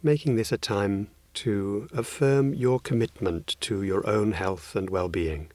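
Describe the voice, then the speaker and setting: A man leading a guided meditation as a teacher, speaking in gentle phrases with long pauses, recorded close and dry. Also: lowish voice, no room sound.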